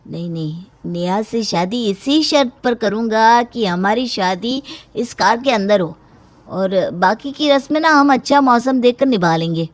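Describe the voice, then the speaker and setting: A woman's voice: on the high side, lively intonation, a little slow. A woman voicing a nonchalant, stubborn young groom who speaks insistently in a cartoon story.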